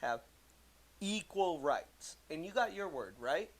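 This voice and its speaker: A man with a somewhat high-pitched voice chats as a co-host on a comedy podcast. He is blunt and informal, leaning on slang and casual swearing. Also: lively intonation, slow pace. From United States.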